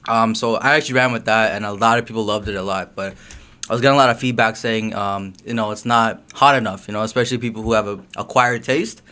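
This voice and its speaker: A man's voice: lowish voice, fast talker, clean tone. A young man who grew up in Canada, chatting casually as an interview guest, loose and full of fillers. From Guyana, raised in Canada. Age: young adult. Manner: relaxed, lots of fillers like um and you know. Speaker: guest, hot sauce business owner.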